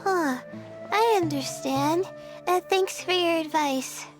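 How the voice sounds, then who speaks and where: A woman's voice: very high-pitched, takes it slowly, over music. A woman voicing an anxious, modest young astrology student in an anime-style video game, speaking in a hesitant, earnest way. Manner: hesitant, earnest, soft-spoken.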